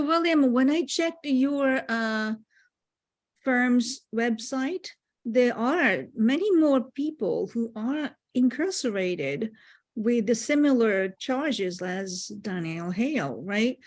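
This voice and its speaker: Woman, fairly high voice, slow pace, halting deliberate questions, meeting participant. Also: expressive. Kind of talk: spoken question at an online meeting.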